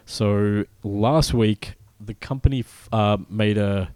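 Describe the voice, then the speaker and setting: Podcast co-host, male, lowish voice, stop-start news delivery, dry close mic. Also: fairly even intonation, darkish tone, clean tone, no echo. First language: not Polish. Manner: halting, many fillers and restarts, apologetic. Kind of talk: casual chat, reading news.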